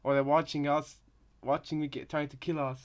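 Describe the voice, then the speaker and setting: A man's voice: fairly high pitch, level tone, brisk. Rambling male reactor, casual commentary. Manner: rambling, repeats and reworks phrases. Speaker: reaction video host.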